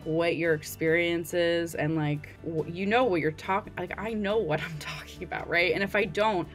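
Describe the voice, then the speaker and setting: Woman, 30s, lowish voice, earnest, chatty, filler-heavy, video call, faint music behind. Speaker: laundromat owner guest. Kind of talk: casual interview talk. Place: home room at night, indoors.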